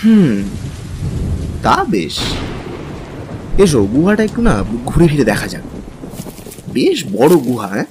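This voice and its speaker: An actor playing an easygoing young husband, practical and curious, in a conversational, questioning style for an audio drama. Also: male voice, medium pitch, big pitch swings, slow pace, long pauses, background noise. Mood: casual, curious. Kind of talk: dramatized Bengali audio story. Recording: music and rain behind.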